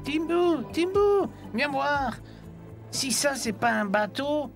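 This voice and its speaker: A man as a high, curious cartoon creature. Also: lively intonation, slow pace, full character voice. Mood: excited. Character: friendly, curious animal castaway from Alaska. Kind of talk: dubbed low-budget cartoon dialogue. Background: music.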